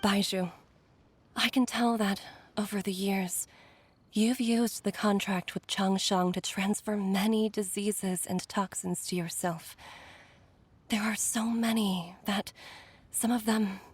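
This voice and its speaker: A woman's voice: mid-range voice, fairly even intonation, slow, husky. Earnest, troubled woman healer. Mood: anguished, regretful. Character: gentle, troubled healer mother with grave concern. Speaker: video game voice actor. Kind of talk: dramatic game dialogue.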